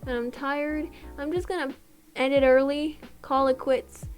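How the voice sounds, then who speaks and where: A tired young woman with a slightly raspy voice, rambling and apologizing in a casual voiceover for her art channel. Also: high voice, steady tone, a little slow. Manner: rambling, apologetic, self-deprecating. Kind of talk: casual voiceover over a speedpaint. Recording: voiceover over loud background music. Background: background music.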